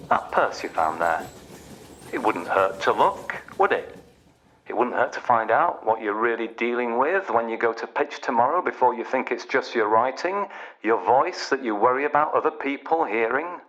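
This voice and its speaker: A man's voice: mid-range voice, expressive, moderate pace, fairly bright tone, lightly acted, low-quality recording. An actor playing a sardonic male train announcer who needles passengers with mocking, conversational patter in a radio play. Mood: needling.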